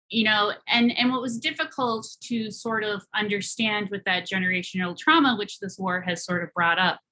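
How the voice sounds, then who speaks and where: A woman's voice: medium pitch, pushed and bright, low-quality recording. An American woman artist talking on a panel, earnest and reflective, with many fillers and restarts. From United States. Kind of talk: panel talk presenting her art.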